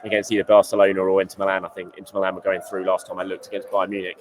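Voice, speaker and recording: A man hosting a football show, talking fast in a rambling, elated post-match report from a stadium while losing his voice. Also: deep voice, monotone. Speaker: football journalist and show host.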